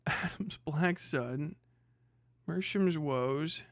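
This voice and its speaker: A man's voice: on the high side, drawn-out words, no echo. A man live streaming in casual reaction chat, talking in an exclamatory, profane way.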